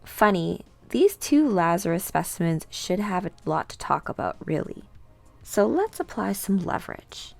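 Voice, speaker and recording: A woman giving a dramatic, theatrical read-aloud of a comic book, acting out the characters slowly and deliberately. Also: lowish voice, very animated, gravelly, darkish tone. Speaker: comic book reader performing all characters.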